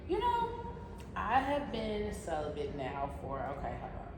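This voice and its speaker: A woman's voice: medium pitch, wildly swooping pitch, measured pace. A woman in her thirties co-hosting a podcast in casual, unfiltered girl chat, swearing freely and expressively in slang. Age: 30s. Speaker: podcast co-host. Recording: echoey, reverberant room with heavy noise.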